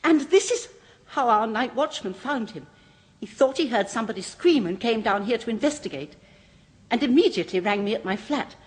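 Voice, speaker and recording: A composed waxworks manager who talks of murderers with precise, brisk relish. Her voice is slightly husky and lively in pitch. The sound is a muffled old radio recording. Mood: shaken.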